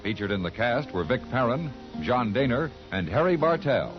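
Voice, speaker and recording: An American man reads as a 1950s radio announcer. His medium-pitched voice swings expressively over loud music. The vintage recording sounds dull. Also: big pitch swings, moderate pace. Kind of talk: 1950s scripted radio announcing and sponsor ads.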